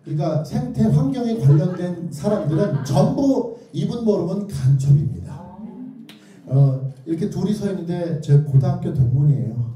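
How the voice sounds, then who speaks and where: A man's voice: sits high, animated, normal speed, warm tone, slight background noise. A man giving an interactive lecture, playfully and theatrically prompting his listeners with either-or questions. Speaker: children's author giving a lecture. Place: echoey indoor lecture room. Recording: very echoey hall, distant mic.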